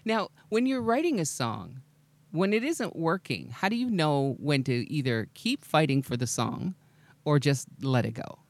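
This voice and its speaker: A man's voice: fairly high pitch, very animated, a little slow, dry recording. A man interviewing as host in a casual, attentive way, putting questions conversationally and offering short agreeing murmurs.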